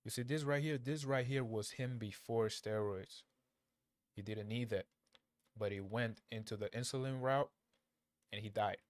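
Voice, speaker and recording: A man from Angola who grew up in Portugal, a native Portuguese speaker, giving casual, unscripted commentary in a blunt, slangy, halting way, often repeating his phrases. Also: lowish voice, no room sound. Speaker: reaction video creator.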